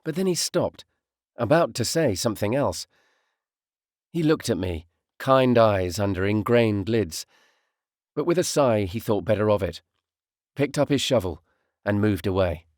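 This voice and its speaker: A man reading a first-person literary audiobook in a measured, reflective way. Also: on the low side, animated, no room sound, clean studio sound. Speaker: audiobook narrator, voice artist.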